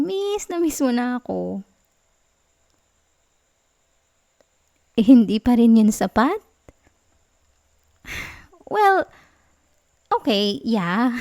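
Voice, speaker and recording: Female actor for young Filipino girlfriend, ASMR roleplay. Slightly high pitch, soft, affectionate, pouty, pleading for attention. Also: no room sound. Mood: understanding, tender. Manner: soft, whiny, affectionate pleading with sighs and giggles. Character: sweet, clingy young girlfriend, gently sulking for attention.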